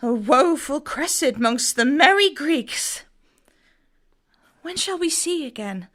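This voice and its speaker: A woman's voice: high voice, expressive, takes it slowly, a bit bright, heavily acted. A woman playing a grief-stricken young Trojan noblewoman in love, speaking classical verse with theatrical emotion in an audio drama. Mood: sorrowful.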